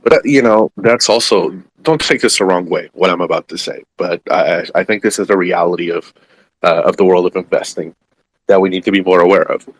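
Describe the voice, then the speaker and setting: A man's voice: sits low, a little fast, breathy edge, poor audio. A man who is a native Portuguese speaker, giving his opinion earnestly in a casual group discussion. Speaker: investor, discussion participant. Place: live online audio room.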